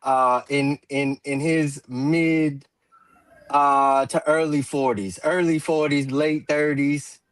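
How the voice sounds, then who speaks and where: A man in his forties hosts a roundtable discussion at an unhurried, deliberate pace. His voice sits a little high for a man and is recorded close and dry. He pauses often and restarts his sentences. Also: steady tone, no room sound. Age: 40s. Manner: measured, many fillers and restarts, clears throat. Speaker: talk show host.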